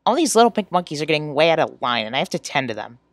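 A woman's voice: deep voice, sing-song, very fast, no room sound. A woman voicing a goofy, earnest teenage boy from a webcomic, playful and exclamatory, in an amateur character reading. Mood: excited, teasing.

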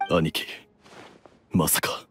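An actor playing a hesitant, subdued younger brother in an anime game. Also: male voice, very slow, a bit bright, soft background music. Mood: hesitant, startled.

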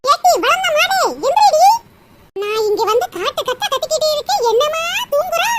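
A woman's voice: extremely high pitch, animated, fast talker, a bit bright. A woman voicing a nagging cartoon mother-in-law who dotes on her son, with a rustic southern Tamil Nadu accent. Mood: scolding. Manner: sarcastic, rustic scolding.

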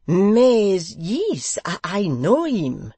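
A reader voicing a patient, courteous foreign gentleman with a French accent who speaks halting English. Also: high-pitched, wildly swooping pitch, slightly rough, no echo, voice pushed up, full character voice. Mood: puzzled. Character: polite, puzzled foreign gentleman dinner guest.

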